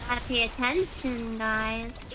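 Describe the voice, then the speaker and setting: A woman's voice: on the high side, fairly even intonation, a little slow, low-quality recording. A woman hosting a livestream, chatting casually as she plays a game. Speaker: livestream host. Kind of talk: casual game-stream chat. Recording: game music behind the voice. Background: game music and character voices.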